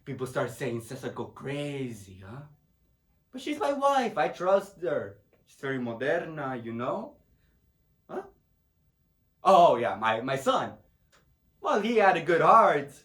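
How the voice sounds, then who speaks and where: Man acting out a middle-aged Puerto Rican dad, high-pitched, slow, Spanish-accented broken English, proud and grieving, stage monologue. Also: big pitch swings, fairly bright tone, heavily acted. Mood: guarded, reminiscing. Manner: broken English, rambling, tags phrases with "huh?". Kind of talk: solo dramatic monologue. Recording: echoey room.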